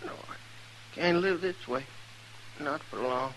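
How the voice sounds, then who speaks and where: An actor playing a mortally wounded, remorseful American outlaw, speaking weakly and haltingly in a 1950s radio western. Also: male voice, on the high side, a bit bright, low-quality recording. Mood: pained, weary. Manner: halting, folksy. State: weak, dying. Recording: vintage radio recording with faint noise.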